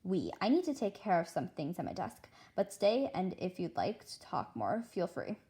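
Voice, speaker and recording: A woman's voice: on the low side, wildly swooping pitch, normal speed, dark tone. A woman voicing a kind, sympathetic female teacher in a read-aloud children's story, with a soothing, dramatic manner. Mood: kindly.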